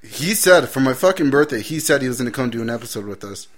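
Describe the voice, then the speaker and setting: A man's voice: mid-range voice, lively intonation, quick pace, a crowd in the background. A man co-hosting a podcast with casual crude banter, rambling through anecdotes full of swearing and slang. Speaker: podcast co-host.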